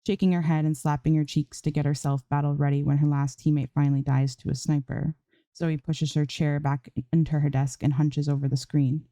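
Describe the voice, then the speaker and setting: Woman reading fan fiction like an audiobook, pretty low mellow voice, close dry sound. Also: little pitch movement, a little fast, no room sound. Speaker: amateur audiobook narrator. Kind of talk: scripted fan fiction narration.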